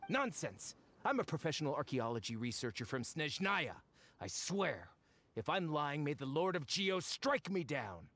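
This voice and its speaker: High-pitched, greedy blustering fraud. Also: male voice, moderate pace, dry recording. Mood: defensive bluster. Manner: pompous, hedging, excitable. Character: greedy, blustering fake archaeologist, shifty and self-important. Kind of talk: anime video game voice acting.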